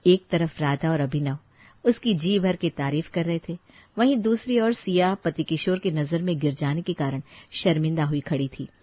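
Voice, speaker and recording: A woman's voice: sits low, normal speed, highs cut off. A woman reading a scripted moral story as its narrator.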